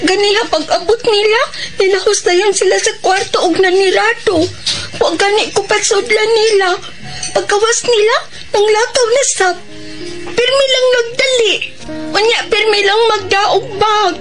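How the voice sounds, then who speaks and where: A woman's voice: very high voice, steady tone, normal speed. A woman playing an emotional mistress of the house in a radio drama, with a Cebuano accent. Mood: explaining. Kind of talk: Filipino radio drama acting. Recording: music bed behind dialogue.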